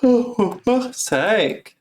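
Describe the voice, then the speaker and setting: Offhand male streamer with lively intonation. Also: very high-pitched, extremely slow. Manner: offhand, grumbling, sweary. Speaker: video game live streamer. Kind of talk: casual gameplay commentary.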